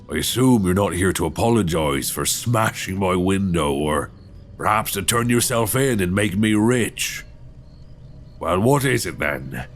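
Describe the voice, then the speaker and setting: Old lighthouse keeper, male actor, horror audio drama: low, swinging intonation, folksy, weary and sly, music underneath. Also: fairly bright tone, exaggerated voice. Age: older. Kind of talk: suspense horror audio roleplay acting. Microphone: close binaural microphone.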